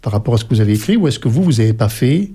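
Lively, husky male radio host. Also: medium pitch, very fast. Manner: chatty, eager, interjecting. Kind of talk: radio interview. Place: radio studio.

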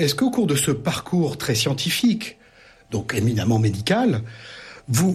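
Courteous interviewer with a very husky voice. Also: male voice, fairly high pitch. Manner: courteous, long winding questions with repetitions. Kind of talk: formal interview.

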